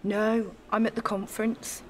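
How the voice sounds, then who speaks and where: A woman's voice: medium pitch, level tone, measured pace, slight background noise, close to an everyday voice, low-quality recording. An actress playing an eager, self-effacing young woman in a naturalistic audio drama, talking in a chatty, gushing way. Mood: shy, hopeful.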